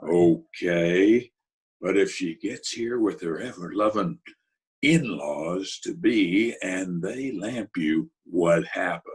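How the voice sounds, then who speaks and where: An actor playing a gruff, commanding gangster boss, blunt and bossy, in old-time radio comedy style. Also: male voice, low voice. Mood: stern. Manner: blunt, bossy, deliberate slangy talk.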